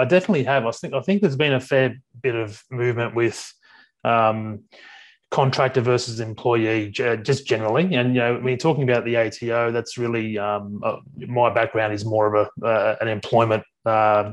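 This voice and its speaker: Australian man, forties, flat delivery, halting, many restarts. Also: medium pitch. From Australia, Sydney. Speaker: podcast guest, workplace advisor. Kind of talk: casual interview talk.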